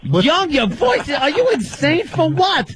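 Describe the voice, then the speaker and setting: A man's voice: very high voice, huge pitch swings, slow, a bit bright, a little hiss or hum. A man hosting a radio talk show, mocking a caller with sarcastic jabs.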